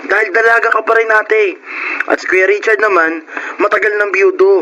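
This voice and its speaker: An actor playing a teasing, playful young uncle who jokes quickly in a radio drama. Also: male voice, high-pitched, steady tone, lightly acted. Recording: dull lo-fi radio recording.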